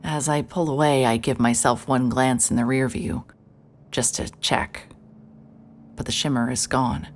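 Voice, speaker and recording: A woman's voice: extremely low pitch, fairly even intonation, unhurried, a little hiss or hum. A woman voicing a hard-hearted private investigator, narrating in a dry, hard-boiled inner monologue. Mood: guarded. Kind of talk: noir first-person narration.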